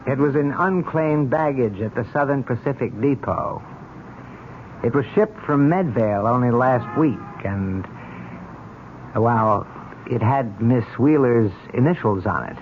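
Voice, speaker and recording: An actor playing a polite, measured police lieutenant in a 1970s radio drama. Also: male voice, medium pitch, expressive. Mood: polite, grave. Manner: measured, courteous. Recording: dull, noisy old radio recording.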